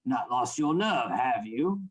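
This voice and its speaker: An actor playing a stern, secretive anatomy lecturer in a radio play, formal and instructing. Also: male voice, mid-range voice, big pitch swings, slow, breathy edge, exaggerated voice. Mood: grave, secretive.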